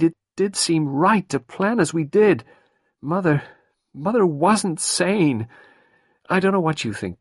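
A man's voice: a little high, slightly rough, dry recording, clean studio sound, pitched above the performer's own voice, exaggerated voice. A man reading an audiobook, voicing a distraught young woman who pleads and falters. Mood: pleading.

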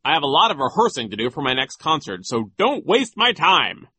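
A man's voice: high-pitched, huge pitch swings, a little slow, sharp tone, no room sound, pitched above the performer's own voice, heavily acted. A man voicing a vain, pompous and nasty male school vice principal in a sneering, mocking manner for an audiobook. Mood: nasty, dismissive. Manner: sneering, self-important, mocking.

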